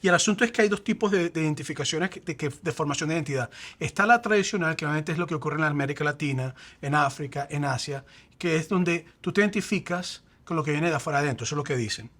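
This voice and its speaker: A man, a pastor, explaining faith and identity in a lively conversational way, acting out quoted examples. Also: fairly high pitch, quick pace.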